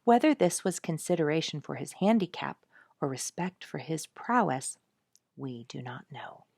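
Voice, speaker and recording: A woman reading a story as a scripted audiobook narrator, with expressive delivery. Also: deep voice, normal speed, vocal fry, dry recording, clean studio sound.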